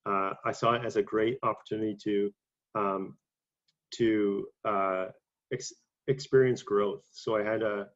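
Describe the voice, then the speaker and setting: A young man with a low voice that barely rises or falls speaks haltingly, filling gaps with "um" and repeating words. He sounds congested from a cold. The recording is dull and lo-fi, like an online presentation. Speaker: conference speaker. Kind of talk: career talk to an online audience.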